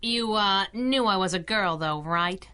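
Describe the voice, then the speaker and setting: A woman's voice: mid-range voice, a little slow, sharp tone, smooth. An actress playing a plucky young female driver and crime-fighting sidekick who talks in brash, slangy wisecracks, in a radio drama. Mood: spunky. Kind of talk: 1930s radio drama acting.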